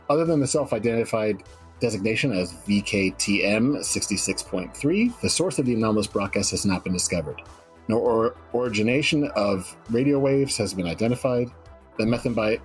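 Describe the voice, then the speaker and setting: Lowish-voiced man reading on a stream. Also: poor audio. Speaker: guest reader, writer. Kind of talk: document reading and casual chat.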